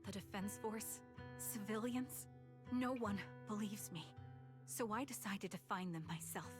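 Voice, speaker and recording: An actress playing a haunted, stubbornly hopeful soldier in a video game, speaking in a somber, earnest way. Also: female voice, mid-range voice, measured pace, music in the background, lightly acted. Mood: desperate hope. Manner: somber, earnest, breathy at moments. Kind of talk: dramatic video game monologue.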